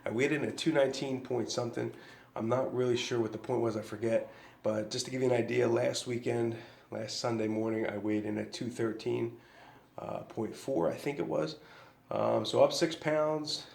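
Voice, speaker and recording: A man's voice: mid-range voice, fairly even intonation, normal speed, gravelly, a little mellow, roomy sound, slight background noise. A man vlogging a casual fitness update, a bit nasal from a cold, loose and rambling with many ums and restarts.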